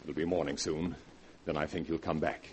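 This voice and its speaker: An actor playing a calm, scheming male scientist in a 1940s radio drama, speaking in a measured, persuasive way. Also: a bass voice, breathy edge.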